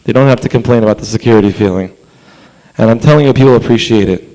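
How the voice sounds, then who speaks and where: A man whose voice is hoarse after a long holy day speaks at a council meeting. He talks in an earnest, chatty way with fillers and asides, at a middle pitch. He is clearly addressing a room from a podium. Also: quick pace, dark tone, rough recording. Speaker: rabbi speaking at public comment. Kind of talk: public comment speech. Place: council chamber.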